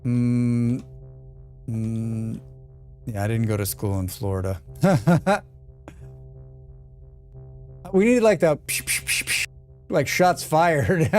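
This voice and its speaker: A man's voice: a little high, drawn-out words, pauses often, soft background music. A man with a scratchy voice, muttering and thinking aloud as he streams a game. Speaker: streamer playing a demo. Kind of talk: live gameplay commentary.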